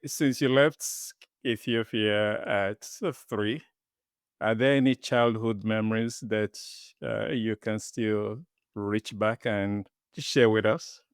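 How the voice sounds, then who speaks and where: A man's voice: on the low side, fairly even intonation, clean tone, dry recording, studio quality. Polite man hosting a podcast. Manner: measured, polite questioning. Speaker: podcast host. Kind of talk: interview hosting.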